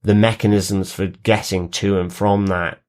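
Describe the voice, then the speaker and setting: A man's voice: lowish voice, level tone, unhurried, a little mellow. A man co-hosting a podcast, discussing television in a reflective, qualifying way. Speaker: podcast co-host.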